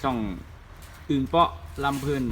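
Polite Isan man over noisy background. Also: moderate pace. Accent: northeastern Thai (Isan). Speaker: co-host. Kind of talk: casual chat and promotion. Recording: clear background noise.

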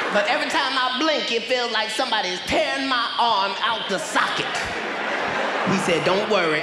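A man doing a stand-up routine on a large theater stage with a handheld mic, loudly shouting his punchlines. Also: high voice. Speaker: stand-up comedian. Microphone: handheld microphone. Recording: roomy hall with loud crowd.

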